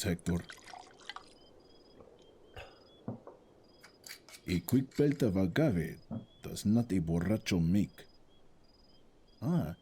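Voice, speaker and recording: A man's voice: low voice, warm tone, slight background noise. A man playing a lonely, tipsy farmer in an audio drama, talking to himself with Spanish words mixed in. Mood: tipsy, muttering.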